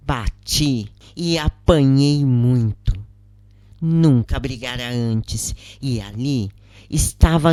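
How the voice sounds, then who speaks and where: Slow, solemn woman narrator. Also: a bass voice, dark tone, no echo. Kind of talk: scripted first-person story narration.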